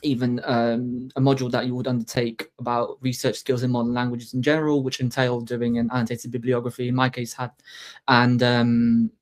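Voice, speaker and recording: A man whose first language is Polish speaks as a translation student on a panel. His delivery stays level and flat, and his sentences are halting, full of fillers and restarts. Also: medium pitch. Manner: halting, lots of fillers and self-corrections.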